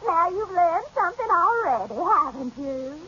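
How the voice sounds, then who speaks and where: A woman's voice: extremely high pitch, very animated, normal speed, pushed and bright, heavily acted. An actress in a 1940s radio comedy playing a pompous, bossy woman who runs a business school and lectures her students grandly. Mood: haughty. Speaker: secretarial school teacher. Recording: muffled old radio broadcast, faint hiss.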